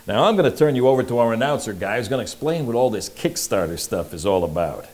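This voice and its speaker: An actor playing a slick, wisecracking 1940s radio private eye, pitching in brash, slangy tough-guy patter. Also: lively intonation, fast, darkish tone, lightly acted. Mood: brash, teasing. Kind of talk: hard-boiled promo narration in old-time radio style. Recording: music under the voice. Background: music.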